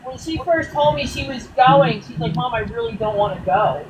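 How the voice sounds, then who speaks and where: A woman's voice: fairly high pitch, animated, normal speed. A woman in her fifties, chatting casually on a live stream, rambling in long run-on stretches and sounding tired. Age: 50s. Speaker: grieving mother, live guest. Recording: noisy audio from off the mic.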